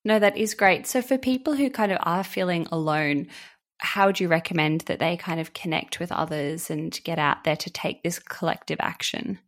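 A woman with a slightly low voice hosts a podcast interview. She affirms her guest and frames her questions in a relaxed, encouraging way. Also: moderate pace. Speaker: podcast host.

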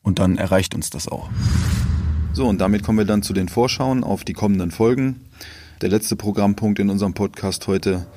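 A man's voice: on the low side, normal speed, very noisy. A man talking casually as a podcast co-host, with informal, slightly hesitant phrasing.

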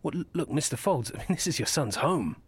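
A man's voice: fairly high pitch, sing-song, fairly quick, husky, no room sound, heavily acted. An actor playing a harried male football club chief executive in an audio drama, flustered and exasperated.

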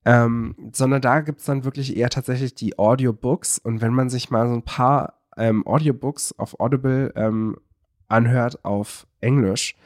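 A man's voice: medium pitch, steady tone, a little slow, dry recording. Rambling man on a podcast, dry sound. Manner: rambling, many fillers and self-corrections. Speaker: podcast co-host. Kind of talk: casual chat.

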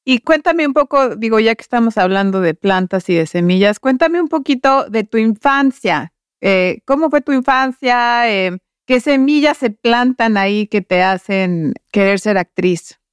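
A woman's voice: fairly high pitch, lively intonation, unhurried, few pauses, clean tone, dry recording, clean studio sound. A woman hosting a casual interview in an engaged, informal, affirming way. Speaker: podcast host, interviewer.

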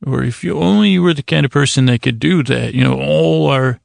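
A man with a heavily creaky, gravelly voice at an average male pitch rambles through a bedtime podcast monologue. He halts, restarts and wanders into tangents as host. The sound is dry and close, with very little room to it. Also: no echo. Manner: meandering, halting, tangent-prone delivery with self-interruptions.

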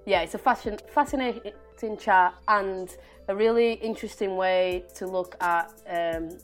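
A woman's voice: mid-range voice, unhurried. A woman chatting casually as a podcast co-host. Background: music.

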